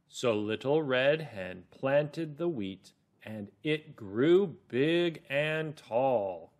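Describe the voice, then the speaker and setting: A middle-aged man teaching children through a read-aloud story, deliberate, explanatory and expressive. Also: medium pitch. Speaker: reading teacher.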